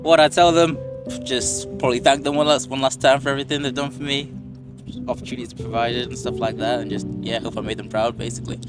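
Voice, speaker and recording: A man's voice: mid-range voice, steady tone, fast. A man giving a casual answer as a street interviewee. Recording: guitar music under the voice.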